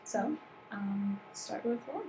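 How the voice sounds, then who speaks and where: A woman's voice: mid-range voice, expressive, takes it slowly. A woman leading a staff training session, explaining ideas at length with frequent fillers and restarts. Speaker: workshop trainer. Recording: roomy with background noise.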